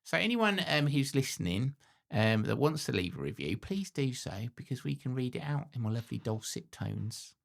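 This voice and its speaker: A man's voice: medium pitch, sing-song, moderate pace, gravelly. A man in his forties with a scratchy voice, co-hosting a podcast in a teasing, jokey way. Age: 40s. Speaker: podcast co-host. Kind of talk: casual chat.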